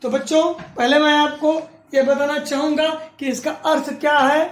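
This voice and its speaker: High-voiced man teaching math, echoey. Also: little pitch movement. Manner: asks and answers his own questions, dictates slowly. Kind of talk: classroom math lecture. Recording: roomy echo from a distance.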